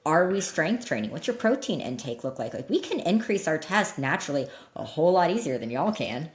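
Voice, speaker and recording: A woman with a slightly deep voice, speaking as an expert guest in a candid, informal, emphatic way, explaining things in an interview conversation. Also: big pitch swings, fairly quick. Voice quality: slightly deep voice that cracks when loud. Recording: roomy echo.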